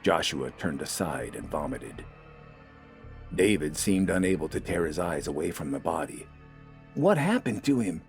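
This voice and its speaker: A man's voice: very deep voice, normal speed, gravelly, faint music behind. A man reading scripted, dramatic fiction as an audiobook narrator.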